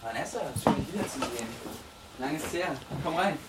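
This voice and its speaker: An actor playing an easygoing young lab researcher who deals on the side, talking casually in a naturalistic radio drama. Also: male voice, on the high side, expressive, brisk, very noisy, lightly acted. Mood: friendly. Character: easygoing young male lab researcher dealing homemade speed. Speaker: research assistant.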